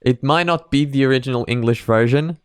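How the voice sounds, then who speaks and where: A man, a Portuguese speaker, hosts in a casual, rambling way. His voice is smooth and mid-pitched, recorded dry. He searches for words and leans into sarcasm. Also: fairly quick, no room sound. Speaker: reaction host. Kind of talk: casual reaction commentary.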